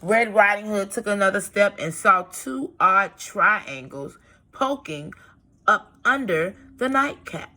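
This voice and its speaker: Slow, animated woman reading a storybook. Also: medium pitch. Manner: explanatory, simple phrasing. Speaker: teacher reading to young children. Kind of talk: expressive storybook read-aloud with asides.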